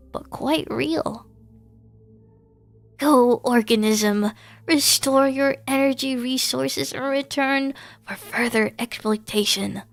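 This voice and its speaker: A woman's voice: sits high, gravelly, voice pushed up. A woman voicing a pompous inhuman machine boss in a game, speaking in stilted, formal, grandiose phrases.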